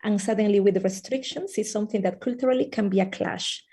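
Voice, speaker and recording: Peruvian-born teacher lady on a video call, mellow voice, lots of ums and uhs. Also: mid-range voice, fast talker, husky. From Peru. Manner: hesitant, many fillers. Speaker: early childhood teacher. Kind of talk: webinar conversation. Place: lives in the Netherlands.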